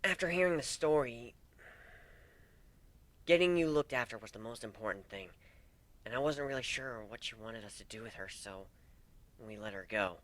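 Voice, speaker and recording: A man voicing a tough but tender female griffin in a story, soft-spoken, teasing and affectionate. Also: medium pitch, animated, normal speed, long pauses, natural voice. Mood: tender, worried.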